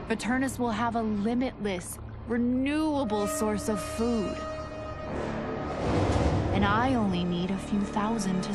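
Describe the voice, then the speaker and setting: Actress as a calculating vampire queen, medium pitch, game narration over heavy score. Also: close to an everyday voice. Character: scheming queen of a starving vampire race. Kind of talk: video game ending narration.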